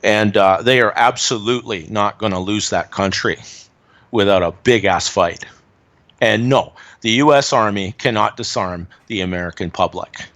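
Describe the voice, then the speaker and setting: A man's voice: on the low side, normal speed, fairly bright tone. A man giving opinionated, emphatic commentary on politics and economics in a casual chat. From Canada. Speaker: guest commentator.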